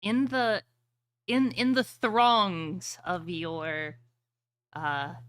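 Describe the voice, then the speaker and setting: Woman dm doing dramatic fantasy narration, crisp bright voice, very dry sound. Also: lowish voice, fairly even intonation, very slow, no echo. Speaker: game master. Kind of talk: narrating a fantasy tabletop roleplaying game.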